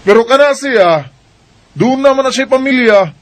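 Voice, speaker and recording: A man's voice: very high voice, animated, normal speed. A man voicing a resentful young man who defies his parents in a radio drama. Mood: bitter defiant. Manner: bitter, sarcastic.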